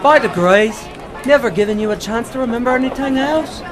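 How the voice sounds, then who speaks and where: An actor playing a boozy old ex-doctor in a 1940s radio drama, rambling philosophically in florid, lecturing speeches. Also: male voice, extremely high pitch, animated, brisk, over music, crowd noise. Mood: tipsy, wistful.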